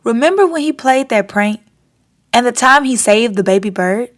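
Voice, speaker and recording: A woman hosting a storytime, reading a children's picture book aloud in an expressive, deliberate way. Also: fairly high pitch.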